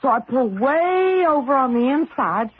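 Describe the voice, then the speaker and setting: An actress playing an affectionate, shaken woman race driver in a radio mystery drama, earnest and halting. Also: high-pitched, sing-song, slightly rough, exaggerated voice. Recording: noisy narrow-band old radio recording.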